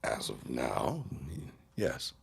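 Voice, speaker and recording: A man's voice: extremely low pitch, huge pitch swings, takes it slowly, vocal fry, husky, full character voice. An actor playing an arrogant, scheming 1910s businessman with haughty, formal diction in an audio drama. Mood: placating. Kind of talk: period audio drama acting.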